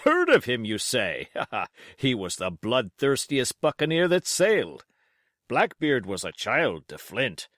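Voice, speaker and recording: Storybook squire, played by a male reader: bluff, grandiloquent, quick-tempered, with big swoops in pitch. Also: wildly swooping pitch, fairly bright tone, no echo, clean studio sound, full character voice. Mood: excited, blustering. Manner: exclamatory, condescending, grandiloquent. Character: bluff, stately, hot-headed middle-aged country squire.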